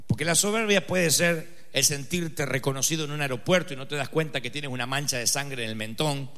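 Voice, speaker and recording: Argentine male pastor, mid-pitch, humorous and emphatic, reverberant hall. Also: fairly quick, a bit bright. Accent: Argentine Spanish. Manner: humorous, conversational storytelling with dramatic emphasis. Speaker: preacher. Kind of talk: sermon with personal anecdote.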